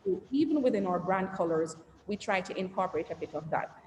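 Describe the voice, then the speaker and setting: A woman's voice: on the low side, expressive, brisk, a little mellow. A woman executive giving a conversational, interactive branding presentation to entrepreneurs over a video call. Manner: conversational, asks the audience and reads the chat aloud, trails lists with "et cetera". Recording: roomy reverberant sound with faint noise.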